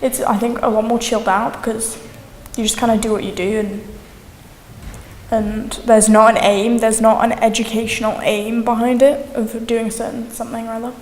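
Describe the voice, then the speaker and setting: Higher-voiced woman in an echoey, noisy hall. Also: little pitch movement, normal speed. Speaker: amateur pantomime performer being interviewed. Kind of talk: casual interview chat. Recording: echoey hall with background noise.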